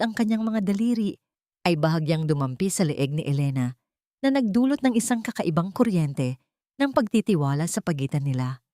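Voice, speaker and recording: Mellow Filipino woman narrating with lively pitch. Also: dry recording, studio quality. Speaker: storyteller. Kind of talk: dramatic scripted audio story narration.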